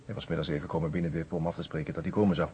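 A man playing a reserved, educated character who recounts events in a radio play, in a measured, slightly hesitant way. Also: rumbling low, fairly even intonation, mellow. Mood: reflective, subdued. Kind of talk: radio play first-person narration. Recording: muffled, noisy old radio recording.

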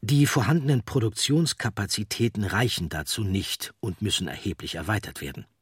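A man reading scripted documentary narration in a measured, deliberate way. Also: fairly low pitch, very animated, slightly rough, no room sound, studio quality.

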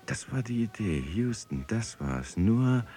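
A man's voice: fairly low pitch, sing-song, unhurried, dark tone, soft background music. An actor in a radio drama playing an eloquent, proud dreamer poet and swordsman, with a precise, theatrical delivery. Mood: dawning inspiration.

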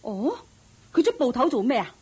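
A woman playing a chatty, effusive middle-aged neighbour auntie in a radio drama, coaxing and quick to chuckle. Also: sits high, big pitch swings, warm tone, husky, full character voice. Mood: curious, coaxing. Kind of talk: Cantonese radio drama acting.

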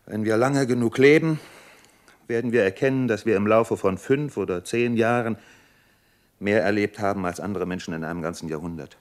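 A man playing an earnest, melancholy idealist doctor in a German radio play, speaking in a solemn, measured, oratorical way. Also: fairly low pitch, lightly acted.

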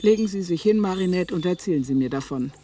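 An actor playing a controlling doctor in a radio drama, measured and authoritative with his patient. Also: high voice, lively intonation, mellow, recorded from a distance, slight background noise. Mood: firm.

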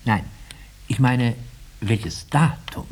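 An actor playing a condescending, clinical psychiatrist in a 1950s radio drama, dropping into drawn-out murmurs. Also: male voice, medium pitch, close to an everyday voice. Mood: probing. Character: condescending male psychiatrist questioning his patient. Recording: noisy 1950s radio recording with clicks.

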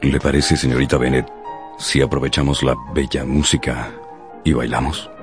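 An actor playing a reserved, proud yet tender gentleman with formal, measured courtesy in a radio drama. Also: rumbling low, little pitch movement, music in the background. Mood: courteous, intimate.

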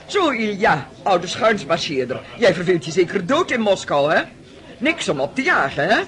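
An actor in a radio drama playing a blunt, imperious society dame who teases and scolds. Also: male voice, high voice, big pitch swings, moderate pace, fairly bright tone. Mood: boisterous teasing.

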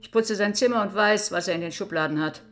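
A woman's voice: mid-range voice, fast, lightly acted. An actress playing a stubborn middle-aged mother, curt and defensive, in a radio crime drama. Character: stubborn, guarded mother defending her grown son.

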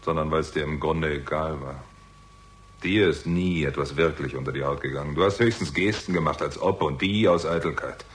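Bitter tired agent guy, deep voice, terse and sardonic, old crime radio drama. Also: a little fast. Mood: bitter, accusing. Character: weary, bitter male theatrical agent narrating his own story. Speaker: narrator and lead actor. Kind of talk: 1960s German crime radio drama acting.

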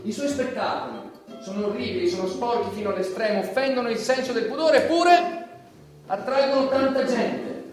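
An actor playing a stern, indignant judge who moralizes in a formal, emphatic, theatrical way. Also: male voice, extremely high pitch, normal speed. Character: indignant, stern male judge condemning an obscene comedian. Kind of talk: theatrical stage monologue. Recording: echoey hall, distant mic, music behind.

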